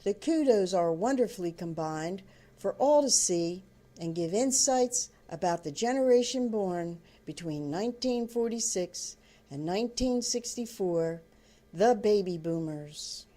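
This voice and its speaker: An older woman reading her own book aloud as storybook narration, in deliberate, halting phrases. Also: medium pitch, expressive, warm tone.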